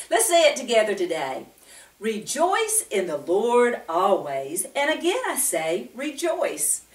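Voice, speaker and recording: An older woman hosting a children's Bible story time, speaking directly to young listeners with frequent questions and pauses between phrases. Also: medium pitch. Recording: roomy, echoing, distant microphone.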